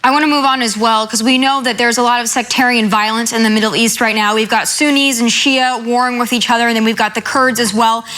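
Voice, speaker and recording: A woman hosting a TV interview, asking measured, probing questions. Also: fairly high pitch, fairly even intonation, pushed and bright. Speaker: TV news host.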